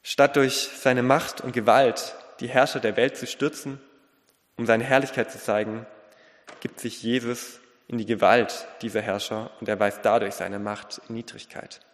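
A man delivering a sermon in an earnest, deliberate way, in short phrases with pauses and a slight lisp. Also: mid-range voice, level tone. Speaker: preacher. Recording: long reverberant hall.

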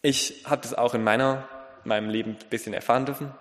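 A man delivering a sermon in an earnest, deliberate way, in short phrases with pauses and a slight lisp. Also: mid-range voice, steady tone, fairly bright tone. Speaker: preacher. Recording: long reverberant hall.

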